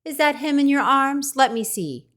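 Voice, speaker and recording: A woman voicing a small, friendly female skunk who talks in a squeaky, eager, gushing way. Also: lively intonation, normal speed, a bit bright. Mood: wary.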